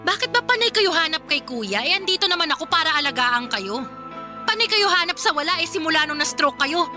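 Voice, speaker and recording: A woman's voice: high-pitched, sing-song, a little fast, music in the background. A woman playing a weary, hurt adult daughter who cares for her sick mother, pleading and exasperated in a Filipino radio drama.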